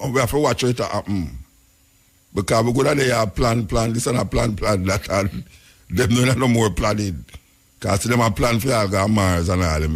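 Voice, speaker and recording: A man with a Jamaican accent hosting a radio show, rambling through casual commentary in patois with frequent chuckles. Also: lowish voice. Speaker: radio host.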